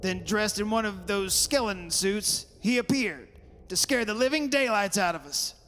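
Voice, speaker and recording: A male actor plays a blustering, sceptical father in an old-time radio horror play. His voice is high and forceful, insistently arguing. It rings through a reverberant hall with clear hiss. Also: normal speed. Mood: accusing. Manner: insistent, argumentative. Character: stubborn sceptical father, proud and blustering, rationalising away terror. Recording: hissy recording in a reverberant hall.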